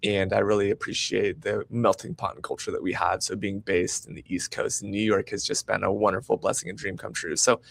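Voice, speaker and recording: Upbeat male host with a gravelly edge. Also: fairly low pitch. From United States. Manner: upbeat, promotional, eager. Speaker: podcast host. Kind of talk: live-streamed interview show.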